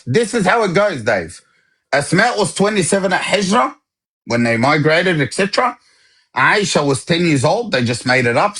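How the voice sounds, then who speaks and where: A man's voice: high voice, a bit bright, husky. A man in a heated live debate, insistent and talking over others as he repeatedly demands sources. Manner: talks over others, interrupts.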